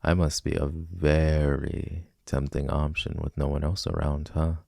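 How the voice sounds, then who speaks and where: A man's voice: extremely low pitch, flat delivery, unhurried, creaky, a little mellow, no room sound, heavily acted. A man playing a cocky, flirtatious villain in an ASMR romance roleplay, teasing and casually persuasive, close on the mic. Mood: smug teasing. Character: flirty, cocky male villain smitten with a civilian.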